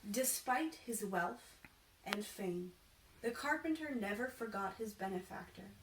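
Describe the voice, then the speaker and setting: A woman reading a children's storybook aloud in an expressive, deliberate, theatrical way. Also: mid-range voice. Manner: animated, deliberate, acts out dialogue. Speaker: costumed storytime reader. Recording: echoey room, distant mic, faint noise.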